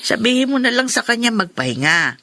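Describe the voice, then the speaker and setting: Lively, fussing Filipino woman friend. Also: a little high, fairly quick, full character voice. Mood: worried. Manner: expressive, concerned, exclaiming. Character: caring, fussy older female best friend. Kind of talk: Filipino radio drama acting.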